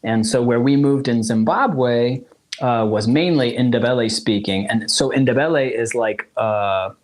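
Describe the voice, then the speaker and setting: A man's voice: mid-range voice, unhurried. Man, forties, raised in South Africa, Zulu first language, rambling, laughing, casual interview guest. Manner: rambling, laughs often, many restarts. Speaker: musician guest.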